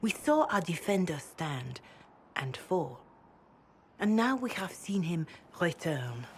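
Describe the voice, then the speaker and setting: An actress playing a wise, devout older woman counselor in a game, speaking in a hushed, solemn, measured way. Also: on the low side, a little hiss or hum. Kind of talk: dramatic game dialogue.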